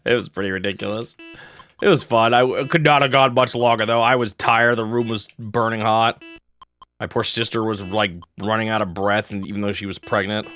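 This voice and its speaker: Young American streamer, low-ish voice, loose rambling chat with lots of laughing, soft music underneath. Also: male voice, moderate pace. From United States. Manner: rambling, chatty, laughs often, restarts sentences. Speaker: gaming streamer. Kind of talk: casual chat while playing a game.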